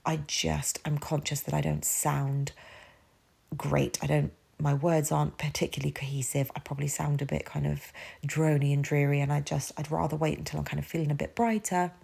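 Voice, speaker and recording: A woman's voice: low-pitched, flat delivery, moderate pace, gravelly, a little mellow, slightly rough. A woman, speaking a rambling, chatty voiceover for a planner video, her nose stuffy from a cold. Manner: rambling, self-deprecating, lots of fillers. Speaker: planner vlogger. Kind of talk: casual voiceover narration.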